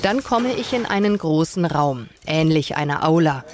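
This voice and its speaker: A woman's voice: low-pitched, expressive, normal speed, background noise. A woman reporter reading a scripted radio reportage.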